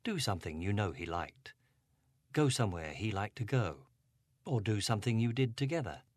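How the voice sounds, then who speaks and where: A man voicing a careful male detective from a storybook, gentle and unhurried with a troubled teenager. The voice sits a bit lower than the narration, soft and measured, on a dry recording. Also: big pitch swings, dry recording. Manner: slow, careful, soothing.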